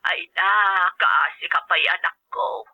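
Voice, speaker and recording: A woman's voice: fairly low pitch, takes it slowly, sharp tone, husky, heavily acted. A woman playing a worried, tender older mother on a phone call in a drama, plaintive and fretful. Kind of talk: scripted drama acting. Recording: dull, band-limited phone-call sound.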